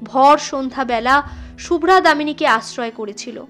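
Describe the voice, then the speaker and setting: A woman with a high, bright voice narrates a literary tale. She reads as a scripted audiobook storyteller, with music playing behind her. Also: moderate pace.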